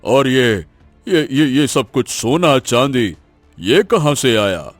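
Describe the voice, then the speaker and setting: A man playing a wise, concerned elderly village teacher in a cartoon story, advising gently with hesitant repetitions. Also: medium pitch, very animated, takes it slowly, no room sound, soft background music. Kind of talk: Hindi cartoon moral story acting.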